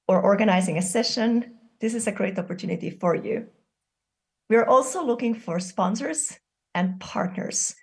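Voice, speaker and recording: A woman's voice: mid-range voice, darkish tone. A woman with a Finnish accent, a conference director, inviting an audience over a video call. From Finland. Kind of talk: remote invitation speech.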